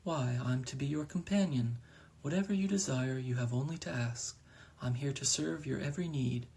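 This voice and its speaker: A man voicing an alluring, gentle female crow who speaks in a calm, soothing, formal way. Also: medium pitch, normal speed, gravelly, husky.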